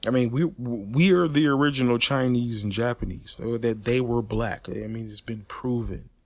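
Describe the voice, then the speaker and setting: Animated man ranting, dry close sound. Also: mid-range voice, moderate pace, dry recording. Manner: animated, emphatic and repetitive, with frequent restarts and stutters; swears freely, street slang. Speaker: host of a personal commentary channel. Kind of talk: unscripted opinion rant.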